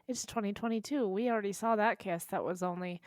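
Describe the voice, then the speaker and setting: A woman's voice: medium pitch, brisk, darkish tone, no room sound, clean studio sound. A woman chatting casually as a co-host on a podcast.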